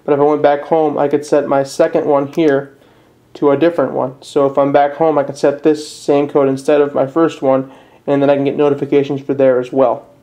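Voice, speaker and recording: Man with flat, even-toned tutorial delivery. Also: medium pitch, fairly quick. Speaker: presenter of a how-to video. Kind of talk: casual step-by-step product tutorial.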